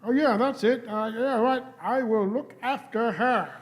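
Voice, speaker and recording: A man's voice: very high-pitched, measured pace. A man playing an earnest, flustered young space-travelling companion in a radio play, with nervous hesitations. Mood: nervous bluffing. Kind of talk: live radio play reading.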